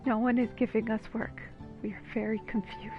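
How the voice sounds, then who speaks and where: A woman's voice: fairly high pitch, level tone, a little fast. A woman giving casual let's-play commentary, with playful, wry asides. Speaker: gaming video host. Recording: slightly dull lo-fi mic. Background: faint game music.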